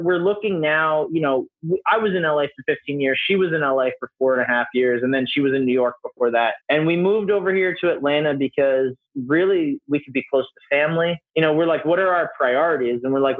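A man in his thirties, a podcast guest chatting casually, rambling with lots of filler words. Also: fairly high pitch. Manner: relaxed, rambling, full of "you know" and "like". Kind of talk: casual interview chat. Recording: tinny phone-like line.

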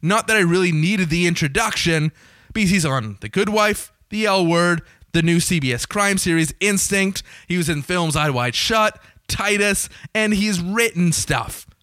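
A young man with a slightly raspy voice, hosting his podcast in a chatty, joking way and swearing casually. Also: sits high, moderate pace, bright, forceful tone, no room sound, studio quality. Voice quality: a little raspy. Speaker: podcast host. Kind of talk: casual solo podcast intro.

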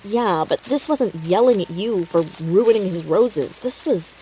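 A woman plays a nervy, methodical teenage girl sleuth in an audio drama. Her voice is mellow and unforced, with an earnest, hushed delivery as she reasons things through. A light layer of ambient noise sits behind her. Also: mid-range voice, animated, measured pace, lightly acted, low-quality recording. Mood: earnest, analytical. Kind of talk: naturalistic audio drama dialogue.

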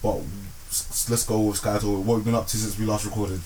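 Low-voiced guy hosting a chill podcast, says um a lot, slight hiss. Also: quick pace, rough recording. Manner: relaxed, laughs often, filler-heavy. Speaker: podcast host. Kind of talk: casual podcast chat.

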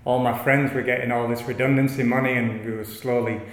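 A man with a northern English accent, a guest telling his life story in a halting, rambling way with many restarts. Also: mid-range voice, level tone. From north of England. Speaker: yoga studio owner, guest. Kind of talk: casual interview, rambling storytelling. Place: yoga studio. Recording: echoey studio room, long reverb.

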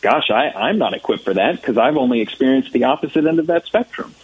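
American guy in his 30s, husky low voice, lots of ums, dull lo-fi radio sound. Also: expressive, fast talker, dry recording. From United States. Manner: thoughtful, many fillers and restarts. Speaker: church ministry director, guest. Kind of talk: relaxed interview answers.

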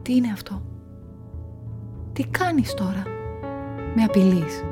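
A woman's voice: medium pitch, expressive, over music, lightly acted. An actress playing a firm but anxious woman in a radio drama, guarded and urgent as she resists pressure. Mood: frightened.

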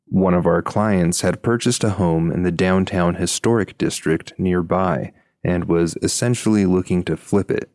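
Man, podcast story reader, deep pitch, dry studio-like sound. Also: moderate pace, hardly any pauses, a little mellow, dry recording. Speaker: podcast host reading listener stories. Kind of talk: scripted story narration.

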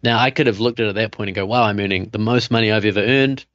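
Man with a New Zealand accent, deep-ish voice, dry sound, dull lo-fi recording. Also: very fast, dry recording. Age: 30s. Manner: relaxed storytelling with frequent uh fillers. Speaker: guest, coach. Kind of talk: casual interview chat.

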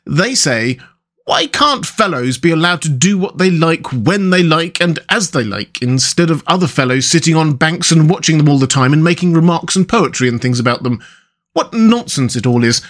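A man's voice: fairly high pitch, animated, normal speed, a bit bright, pitched above the performer's own voice. A man voicing a cheerful, good-natured water rat in a storybook, chatty and emphatic. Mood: cheerfully complaining. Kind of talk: storybook character dialogue.

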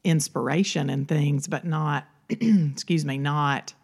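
A woman with a low voice co-hosts a podcast. She speaks casually and conversationally. Her chatty delivery is peppered with filler phrases as she works through her thoughts. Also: takes it slowly, vocal fry, darkish tone, breathy edge. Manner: chatty, peppered with "you know". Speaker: podcast co-host.

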